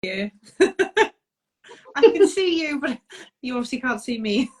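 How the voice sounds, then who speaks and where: A woman's voice: sits high, expressive, a little slow. Welsh woman guest, easygoing and chatty, lots of ums and laughter, heard over a video call. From Wales. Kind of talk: casual chat.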